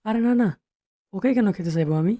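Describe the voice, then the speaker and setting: A man's voice: high voice, fast, mellow, natural voice. A man performs a gullible eagle for a kids' cartoon. The voice is raised and defensive, a reluctant accomplice who keeps protesting. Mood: nervous, defensive. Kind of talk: children's cartoon voice acting.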